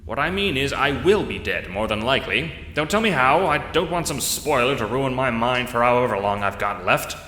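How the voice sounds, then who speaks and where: Brash, lively old adventurer. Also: male voice, mid-range voice, normal speed, few pauses, echoey room, big-room sound, a little hiss or hum, voice pushed up. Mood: brash, impatient. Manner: blunt, old-fashioned exclamatory slang, condescending. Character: brash, pompous old adventurer man with a rifle. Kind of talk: audio drama acting.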